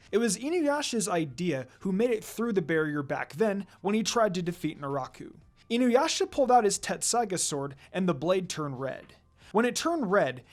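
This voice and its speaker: A man narrating a story aloud in a dramatic reading style. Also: sits high.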